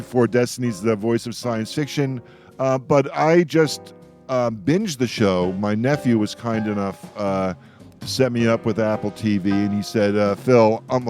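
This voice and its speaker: A man's voice: mid-range voice, darkish tone. An older man hosting a casual radio roundtable in a jokey, rambling way. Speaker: radio show host. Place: radio show with remote guests.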